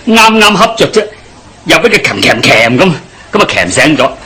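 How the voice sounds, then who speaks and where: An actor playing a grumbling, henpecked village husband with a Hong Kong Cantonese accent, sighing and complaining in a radio drama. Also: male voice, medium pitch, very animated, moderate pace, crowd noise, natural voice.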